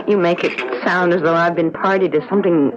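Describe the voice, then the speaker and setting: An actress playing a compassionate, earnest woman doctor in a 1970s radio drama, speaking in a gentle, expressive manner. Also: on the low side, moderate pace, lightly acted. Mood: gently persuasive. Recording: dull lo-fi radio recording, crowd murmur behind.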